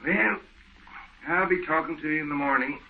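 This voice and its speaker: A man's voice: on the high side, normal speed, natural voice. An American actor playing a level-headed, skeptical male friend in a folksy, insistent manner, in old radio horror drama style. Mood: resigned. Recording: muffled old-time radio recording.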